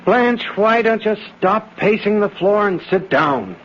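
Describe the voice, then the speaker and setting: An American actor playing an anxious, hesitant, submissive accomplice in an old-time radio thriller. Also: male voice, sits high, animated, measured pace. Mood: anxious, pleading. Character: nervous, submissive male business partner, reluctant accomplice. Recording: noisy, muffled vintage radio broadcast.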